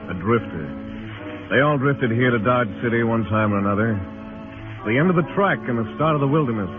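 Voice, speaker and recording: An actor playing a world-weary, laconic frontier marshal with a dry, brooding manner in a radio western. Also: male voice, lowish voice, close to an everyday voice. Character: hard-bitten, world-weary male US marshal in Old West. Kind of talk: 1950s radio western narration and acting. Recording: tinny old radio recording, music behind.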